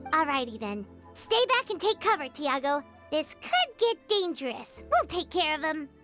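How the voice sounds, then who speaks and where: Very high, chirpy childlike fairy companion. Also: female voice, normal speed, exaggerated voice. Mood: brisk, confident. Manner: chirpy, casual, expressive. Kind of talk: video game voice acting. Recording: loud game music behind.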